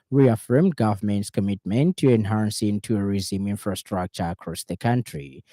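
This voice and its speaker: A man reading a scripted radio news bulletin in a formal, measured way. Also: fairly low pitch, warm tone, no echo, studio quality. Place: radio studio.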